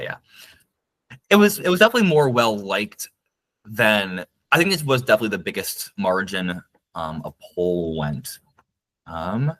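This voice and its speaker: Lowish-voiced man chatting with lots of fillers. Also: fairly bright tone. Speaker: podcast co-host. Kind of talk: casual chat.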